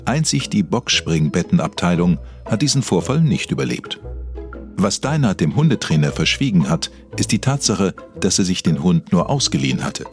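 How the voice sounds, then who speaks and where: A man narrating a comedy mock documentary from a script in an earnest, deadpan manner. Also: low-pitched, moderate pace, soft background music. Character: earnest male documentary narrator telling absurd stories.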